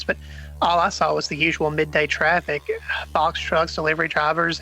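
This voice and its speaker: A man's voice: fairly high pitch, pushed and bright, poor audio. A man playing an anxious, hesitant character in an audio drama, speaking in an informal, earnest way. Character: anxious, hesitant man chasing a conspiracy mystery. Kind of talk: naturalistic audio drama dialogue and first-person narration.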